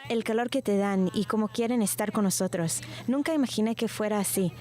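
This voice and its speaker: A woman's voice: mid-range voice, a little fast. A young woman from Israel answering questions as an interviewed volunteer. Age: young adult.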